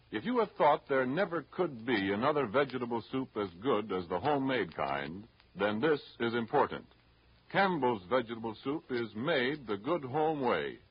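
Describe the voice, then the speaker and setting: A man's voice: mid-range voice, husky. A man reading a scripted advertisement as a 1930s radio announcer. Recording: dull 1930s radio recording.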